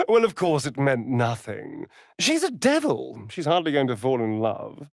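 A sly vampire rogue for a fantasy video game, British and flamboyantly camp. He drawls slowly and teasingly, his pitch swooping theatrically from line to line. The recording is dry and close, with a sardonic, arch delivery throughout. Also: sing-song, creaky, dry recording. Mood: amused, mocking.

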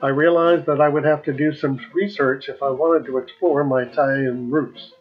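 A man's voice: medium pitch, fairly even intonation, normal speed, highs cut off, soft background music. A man in his seventies with an American accent, narrating his own personal travel video. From United States. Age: 70s.

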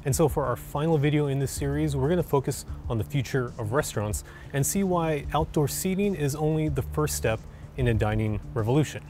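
A man's voice: medium pitch, brisk, a little mellow. Young male host, twenties, casual scripted explainer outdoors, music underneath. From San Francisco. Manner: upbeat, conversational, direct address. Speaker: video host. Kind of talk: scripted explainer talk to camera. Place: outdoors on city streets.

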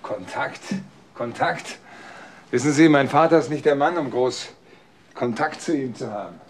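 A man's voice: mid-range voice, measured pace, natural voice. An actor playing an incredulous, defensive businessman in a crime radio play, often speaking in clipped phrases. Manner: echoes questions in clipped phrases. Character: grown son, businessman, incredulous, defensive about his father. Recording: noisy old radio drama recording.

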